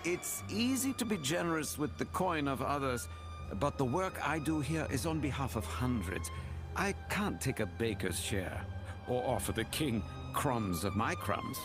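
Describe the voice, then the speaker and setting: Haughty nobleman, mid-pitched, very expressive intonation, florid wording, theatrical, condescending, fantasy game, music behind. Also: unhurried. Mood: persuasive. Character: smooth, pompous male nobleman magistrate, drolly dismissive.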